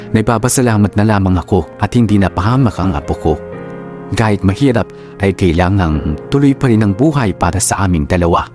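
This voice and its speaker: A man's voice: low-pitched, natural voice. A man playing a sorrowful but resolute old grandfather, narrating a scripted dramatic monologue. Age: older. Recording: music bed under narration.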